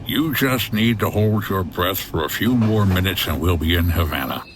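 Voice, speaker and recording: An actor playing a gruff, no-nonsense hotel owner turned sleuth in a comedy noir audio drama, terse and exasperated. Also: male voice, sits low, expressive, normal speed, background noise.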